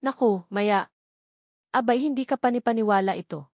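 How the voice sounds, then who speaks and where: A woman voicing an excitable, astonished motherly neighbour in a narrated story, exclaiming and gossipy. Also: fairly high pitch, moderate pace, no echo, studio quality. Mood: astonished, excited.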